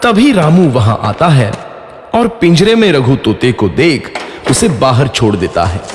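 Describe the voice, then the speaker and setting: Animated male storyteller in heavy echo. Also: mid-range voice, measured pace. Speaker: narrator. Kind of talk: scripted storybook narration for a children's cartoon. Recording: echoey, long reverb, faint music behind. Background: faint music.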